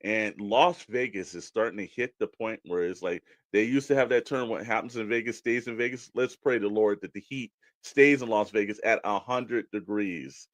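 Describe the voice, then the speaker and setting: A man's voice: on the low side, moderate pace, few pauses, no echo. A man co-hosting a radio-style show in a folksy, rambling, chatty way, with casual joking delivery into a close mic. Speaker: co-host reading weather segment.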